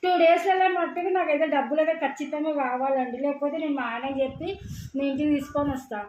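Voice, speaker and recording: High-pitched insistent woman with Telangana accent. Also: steady tone, fast, darkish tone. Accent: Telangana Telugu. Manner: insistent, repetitive, argumentative. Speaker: prank caller. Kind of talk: staged phone conversation, informal. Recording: dull, echoey room with background noise.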